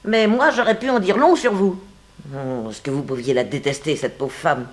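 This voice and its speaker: An actress playing a sharp-tongued, spiteful lady's companion in a 1960s French radio drama, mocking and exclamatory in colloquial speech. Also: deep voice, normal speed, close to an everyday voice. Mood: mocking, indignant.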